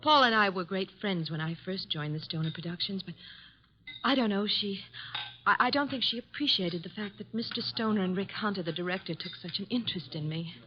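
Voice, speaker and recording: A woman's voice: medium pitch, fairly quick, a little hiss or hum, close to an everyday voice. Radio mystery client, female actor: refined young actress, mid-range voice, courteous and a touch hesitant, vintage lo-fi recording. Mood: earnest, confiding. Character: poised, charming young actress, a detective's client. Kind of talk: old radio drama acting. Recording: muffled old radio recording.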